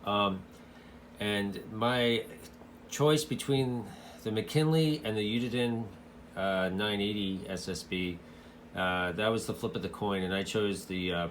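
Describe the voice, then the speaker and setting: A man giving a casual, hands-on product review in a halting way, as a hobbyist. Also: on the low side, slight background noise. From United States.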